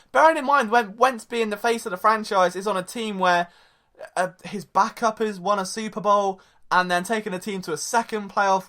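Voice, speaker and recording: A young man with a high voice hosting a solo sports podcast, rambling and excitable, swinging between loud and soft. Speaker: podcast host.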